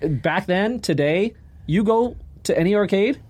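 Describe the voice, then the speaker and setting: A man with a somewhat high voice in a relaxed podcast conversation. His delivery swings up and down freely as he explains things with enthusiasm and plenty of slang. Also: a little slow. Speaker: podcast co-host. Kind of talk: casual nostalgic chat.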